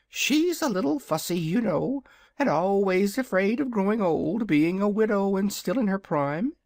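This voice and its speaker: A reader voicing a proud, hungry young dragon in a storybook, with a high, drawling, haughty manner. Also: no room sound, clean studio sound. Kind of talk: audiobook character voice.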